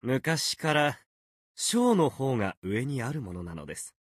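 A man's voice: mid-range voice, big pitch swings, measured pace, husky, no echo, studio quality. A man voicing a proud, playful fox-spirit swordsman in a video game, speaking in polite, archaic, theatrical phrases. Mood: composed, proud. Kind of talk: Japanese video game character voice lines.

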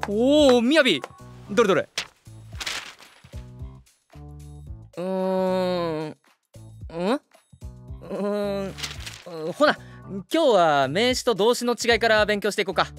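A man acting an easygoing, well-meaning young high school teacher with a Kansai accent, mild and gently scolding. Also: lively intonation, slow pace, long pauses. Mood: encouraging. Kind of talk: Japanese voice drama acting. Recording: music behind the voice.

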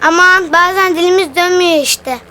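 Very high, bright brash boy. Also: normal speed, a little hiss or hum. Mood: casual. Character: brash, playful young boy, card-playing pal. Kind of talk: animated children's cartoon dialogue.

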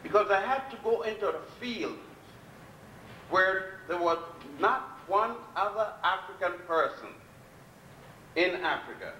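An older man giving a public lecture from a podium, blunt and defiant, telling his story with long pauses. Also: high-pitched, animated, bright, forceful tone, recorded from a distance, slight background noise. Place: lecture hall at a podium before a chalkboard. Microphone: podium mic on a stand, filmed from a distance. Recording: echoey hall, recorded from afar.

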